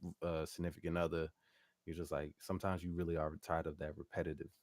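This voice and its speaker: A young man with a very low voice talks through how he made a song, relaxed and easygoing with plenty of casual filler. The sound is dry and close-miked. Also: fast talker, dry recording. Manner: relaxed, hums melodies, laughs easily. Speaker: guest R&B singer and producer. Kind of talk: casual interview chat.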